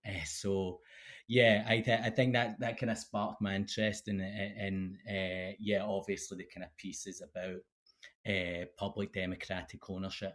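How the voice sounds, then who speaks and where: A Scottish man in his thirties, a guest speaking casually in an interview, halting with many fillers and restarts. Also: deep voice, fairly bright tone. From Scotland. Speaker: guest, PhD candidate.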